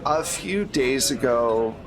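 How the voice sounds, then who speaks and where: A man playing a middle-aged female agency handler in a tabletop game, courteous, protective and measured in a briefing manner. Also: mid-range voice, lively intonation, over music. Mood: businesslike, reassuring. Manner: measured, professional briefing talk.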